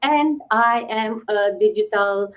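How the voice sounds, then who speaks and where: A woman's voice: on the high side, slow, dull audio. A woman from Romania with a Romanian accent, hosting a weekly live stream in a chatty, welcoming way over a video call. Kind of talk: casual live-stream chat.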